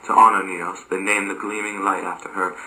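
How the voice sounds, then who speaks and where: A man's voice: low voice, level tone, a little fast, pushed and bright, poor audio. A man reading a scripted story as narrator, occasionally stumbling over the words.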